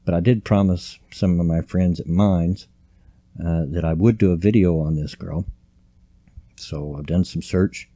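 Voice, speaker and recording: Older guy, deep low voice, folksy rambling, dry close mic. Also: steady tone, a little slow, warm tone, no echo. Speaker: vlogger. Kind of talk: casual grumpy commentary. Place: home at night, quiet room.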